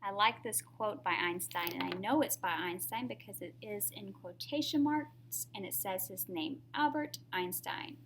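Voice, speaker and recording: A woman's voice: medium pitch, moderate pace, few pauses, fairly bright tone, slight background noise. A woman reading a picture book aloud to young children in a teacherly way, often putting questions to the listener.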